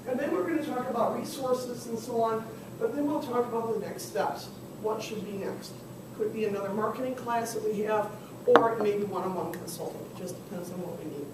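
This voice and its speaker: A woman teaching a small business class, hoarse from losing her voice, chatting and tossing questions to the room. She is heard from far off in a very echoey classroom with clear background noise. Her voice sits at an ordinary pitch. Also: fairly quick. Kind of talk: classroom workshop instruction. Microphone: far camera.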